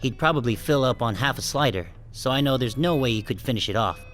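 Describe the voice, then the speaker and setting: A man's voice: medium pitch, expressive, quick pace, a bit bright, soft background music, lightly acted. A man playing a wary, resentful sheep who narrates his own story in a wry, guarded audiobook style. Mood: wry, uneasy. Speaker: first-person narrator and protagonist. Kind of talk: scripted first-person audiobook narration.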